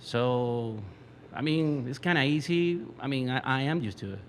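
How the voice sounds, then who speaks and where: A man from Venezuela with a Venezuelan Spanish accent, a native Spanish speaker and metal musician guest, speaking haltingly as he searches for words. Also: medium pitch, a little hiss or hum.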